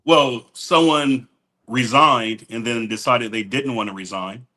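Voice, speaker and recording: A man with a bright, forceful tone co-hosts a casual podcast. He talks through his week in a plain, matter-of-fact way. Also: medium pitch, unhurried. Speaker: podcast co-host, business owner. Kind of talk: casual chat.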